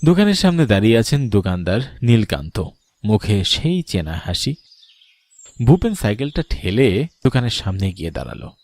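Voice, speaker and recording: A man's voice: on the low side, big pitch swings, normal speed, darkish tone, no room sound. A man reads a scripted ghost story as its narrator.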